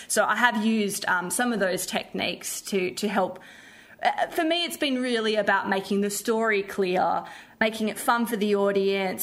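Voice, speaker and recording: A woman's voice: medium pitch, fairly bright tone. A woman who works as a theatre director, talking conversationally and thoughtfully as an interview guest.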